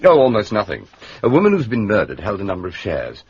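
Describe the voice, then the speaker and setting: Wry English gentleman sleuth, very husky, animated low voice, dry muffled radio drama. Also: quick pace, exaggerated voice. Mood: polite, measured. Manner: understated, politely hesitant, dryly humorous. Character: courteous, wry gentleman detective, mild and unflappable. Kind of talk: British radio drama acting.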